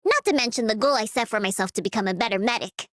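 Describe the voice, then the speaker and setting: A woman's voice: on the high side, quick pace, fairly bright tone, no echo, natural voice. A woman voicing a diligent, driven young female medic in a game. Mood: busy, determined. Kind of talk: scripted game voice lines.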